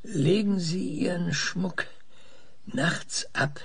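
A man's voice: high-pitched, extremely slow, gravelly, background noise, exaggerated voice. An actor playing an older, obsessive male scientist who stammers and speaks pedantically in an audio drama. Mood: pedantic, hesitant. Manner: hesitant stammering, pedantic, insistent. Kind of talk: German audio drama acting.

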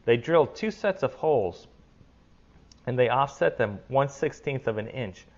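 A man's voice: medium pitch, expressive, normal speed, dull audio. Enthusiastic middle-aged man demoing tools. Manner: enthusiastic, chatty, with fillers. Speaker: woodworking channel host. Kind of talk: casual tool demonstration. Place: home kitchen, indoors. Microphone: lapel mic.

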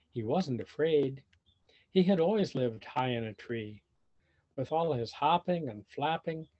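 A man with a husky voice reading a children's storybook aloud expressively and deliberately, pausing to explain things simply to young listeners. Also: mid-range voice, warm tone. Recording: dull lo-fi video call audio.

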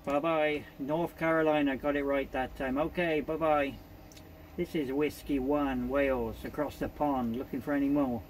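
A British man with a fairly high voice makes CB radio calls. He repeats callsigns and phrases as he works his contacts. Some radio noise runs through the recording. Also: fairly even intonation, normal speed, rough recording. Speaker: CB radio operator.

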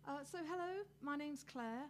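A woman's voice: sits high, normal speed, heavy background noise. A middle-aged woman talks informally from a small indoor stage. She sounds conversational, introducing a song to a small audience in a live recording with room sound. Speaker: singer-songwriter. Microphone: microphone on a stand.

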